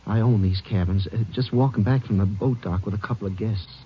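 A man's voice: on the low side, fairly quick, slightly rough, highs cut off. An actor playing a nervous, stammering male cabin owner in a 1950s radio drama. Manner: stammering, timid. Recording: noisy old radio transcription.

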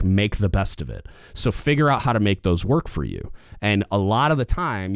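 A man with a loud, booming voice giving casual leadership advice as a podcast host, getting louder when fired up. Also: no room sound, clean studio sound. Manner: gets louder when fired up, rambling restarts.

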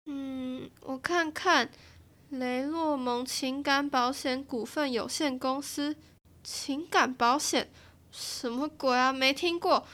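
A woman's voice: high-pitched, exaggerated voice. A woman playing a prickly, suspicious young recluse. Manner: sharp, quick to snap, sarcastic. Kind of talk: audio drama acting.

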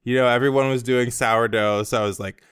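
An American man hosting a podcast in easy casual chat, upbeat and quick to laugh. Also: medium pitch, steady tone, fairly bright tone, clean tone, no room sound, clean studio sound. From United States. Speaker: podcast host.

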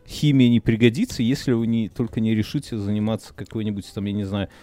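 A man's voice: fairly low pitch, quick pace. A man co-hosting a casual podcast chat, sarcastic and playful, whose first language is Belarusian. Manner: animated, sarcastic. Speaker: podcast co-host.

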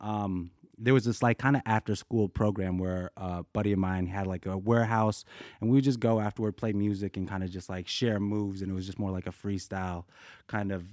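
A man from Colorado recounting his life as a dancer, loose and rambling, sometimes acting out what people said. His voice sits low, and the recording is dry and close. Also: fairly even intonation, a little fast, a little mellow, no room sound. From United States, Colorado. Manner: laid-back, rambling, acts out quotes. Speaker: guest, dancer. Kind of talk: casual storytelling interview.

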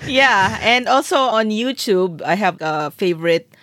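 A woman's voice: medium pitch, lively intonation, a little slow, a bit bright, dry recording. A woman in her thirties from the Philippines, chatting casually as a co-host and laughing often. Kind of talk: casual chat.

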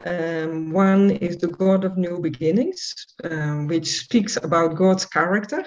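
A woman's voice: lowish voice, animated, a little slow, darkish tone. A woman guest author who speaks English as a second language, answering earnestly with many hesitant ums. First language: not English. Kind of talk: interview answers. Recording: dull lo-fi audio.

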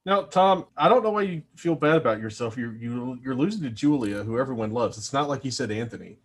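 A man's voice: medium pitch, expressive, a little fast, breathy edge. A man in casual conversation with a teasing manner. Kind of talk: casual chat.

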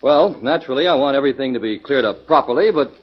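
An actor playing a pompous, defensive department store owner in a 1940s radio drama, self-important and blustering. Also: male voice, mid-range voice, expressive, quick pace, slightly rough. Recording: dull, lo-fi 1940s radio recording.

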